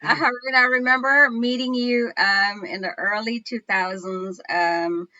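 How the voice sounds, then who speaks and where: A woman hosting a casual video-call chat show, her voice bright and forward. She introduces guests in a chatty, friendly way. The sound is dry and a little dull. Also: fairly high pitch, fairly even intonation, a little slow, dry recording. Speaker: show host.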